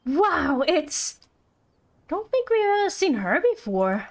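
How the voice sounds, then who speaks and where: High-voiced woman streaming a game. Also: very animated. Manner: rambling, halting, playful. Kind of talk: casual live gameplay commentary.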